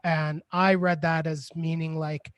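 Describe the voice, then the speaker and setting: A man's voice: on the high side, flat delivery, a little slow, clean tone, no room sound. A man co-hosting a casual video-call discussion, talking loosely with lots of "like", "you know" and "right?". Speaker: librarian and co-host. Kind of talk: casual live-stream discussion.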